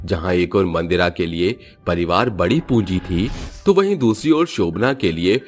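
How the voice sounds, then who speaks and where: A man's voice: low voice, animated, normal speed, soft background music. A man doing scripted storybook narration for cartoon tales.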